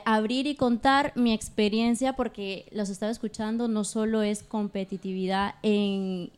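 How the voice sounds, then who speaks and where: A woman's voice: mid-range voice, darkish tone, clear, resonant tone. A Peruvian woman in her thirties with a Peruvian Spanish accent, a manicurist guest talking earnestly and gratefully on a radio chat show. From Peru. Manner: earnest, grateful, laughs easily.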